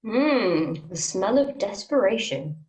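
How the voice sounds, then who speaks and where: A woman reading a sardonic, sharp-tongued new journalist in an amateur script read. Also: mid-range voice, animated, slow, rough recording. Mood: cutting.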